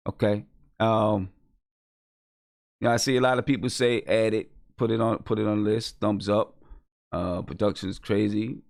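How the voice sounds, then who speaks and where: Gravelly man hosting a casual show. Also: on the low side, steady tone, normal speed, pauses often, a little mellow, no echo, clean studio sound. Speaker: host of a live music review show. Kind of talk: casual chat.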